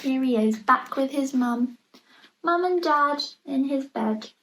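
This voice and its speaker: A woman reading a children's picture book aloud in an expressive storytelling manner, pausing to point out the pictures. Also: high-pitched, rough recording. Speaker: storybook reader.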